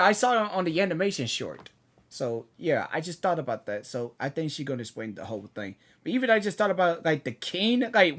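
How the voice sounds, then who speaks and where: A woman hosting a game reaction video, chatting excitedly and rambling with restarts. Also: fairly low pitch. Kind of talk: casual reaction commentary.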